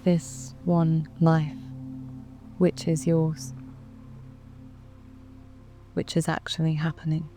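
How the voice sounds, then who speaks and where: A woman with a British accent narrating a guided sleep meditation in a soft, calm, soothing way, with long measured pauses. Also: low voice. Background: background music.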